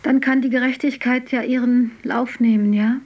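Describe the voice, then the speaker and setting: A woman's voice: on the high side, steady tone, normal speed, lo-fi sound, natural voice. An actress playing a woman confessing to police in a bitter, confessional tone. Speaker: narrator testifying. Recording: distant mic.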